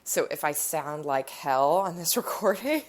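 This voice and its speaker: A woman's voice: sits low, expressive, measured pace. A woman hosting a podcast, stuffy and nasal from a cold, chatting in a rambling storytelling way, recorded on her phone in her living room. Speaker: podcast host. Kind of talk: casual chat.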